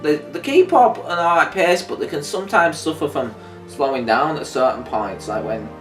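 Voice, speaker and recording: A man's voice: fairly high pitch, moderate pace, a bit bright. Rambling man in an echoey room. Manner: rambling, hesitant, thinking aloud. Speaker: co-host of a review discussion. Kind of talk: casual chat reviewing an audio drama. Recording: echoey room, distant mic, faint music behind. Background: faint music.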